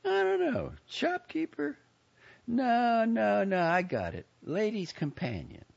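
Man doing a nosy older Southern lady, very high pitch, swooping, pious, folksy, self-satisfied, storybook character. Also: sing-song, takes it slowly, creaky, dull audio, heavily acted. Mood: sure of herself. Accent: American South.

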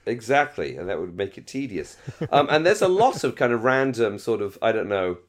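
Low-pitched man, rambling chat. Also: sing-song, a little fast. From Britain. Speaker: podcast co-host. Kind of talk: casual discussion of a radio drama.

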